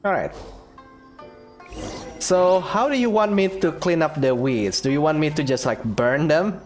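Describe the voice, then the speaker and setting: A woman's voice: sits low, animated, a little slow, a little mellow, faint music behind. A woman giving casual gameplay commentary in a laid-back, joking way. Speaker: game streamer.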